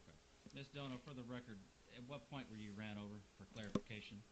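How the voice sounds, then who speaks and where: Stern low-voiced man playing a judge. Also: background noise. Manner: stern, halting judge who repeats himself. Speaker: roleplayer as a judge. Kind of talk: casual roleplay court hearing.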